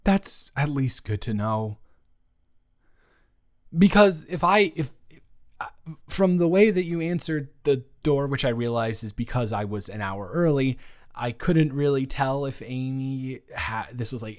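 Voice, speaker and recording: A man playing an awkward, considerate ex-boyfriend in an improvised audio roleplay, speaking conversationally in a halting, stammering way. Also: mid-range voice, smooth, close to an everyday voice. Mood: awkward, amused. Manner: hesitant, halting, stammering, with nervous chuckles. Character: awkward, considerate young ex-boyfriend, nervously teasing.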